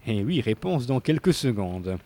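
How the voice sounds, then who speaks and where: A man's voice: medium pitch, sing-song, unhurried, mellow. A man narrating a scripted local TV report in a playful, tongue-in-cheek manner.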